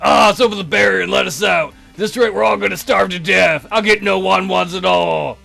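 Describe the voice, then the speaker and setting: Loutish guard dog, visual novel, played by a man: loud, coarse, surly, lecherous, sneering, with a forceful edge. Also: sits high, brisk, gravelly, faint music behind, voice pushed down, exaggerated voice. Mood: lewd, whining. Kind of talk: visual novel character voice-over.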